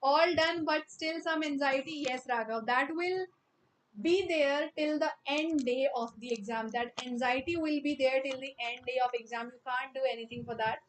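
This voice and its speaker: A young woman teaching maths in a live online class, with an Indian English accent and a high, bright voice. She talks loudly and chattily, greeting students by name and mixing Hindi phrases into her English. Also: a little hiss or hum, low-quality recording.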